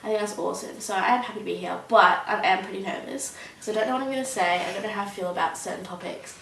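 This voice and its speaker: A woman's voice: medium pitch, fast, creaky, fairly bright tone. A young woman, answering interview questions casually and hesitantly, with many ums and likes. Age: young adult. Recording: roomy, mic at a distance, faint hiss.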